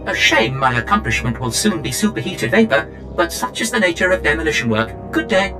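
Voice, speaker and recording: An actor playing a polite, proud demolition droid in a video game, formal and pedantic. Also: male voice, fairly low pitch, lively intonation, brisk, over music, close to an everyday voice, low-quality recording. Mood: proud, unruffled. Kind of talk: scripted video game acting.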